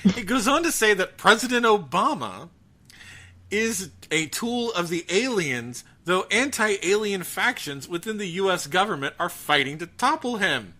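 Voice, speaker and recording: A man hosting a podcast, chatting casually and comically, incredulous and often restarting his sentences. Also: high-pitched. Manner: animated, incredulous, halting restarts. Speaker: podcast host. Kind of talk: casual comedic chat about a bizarre news story.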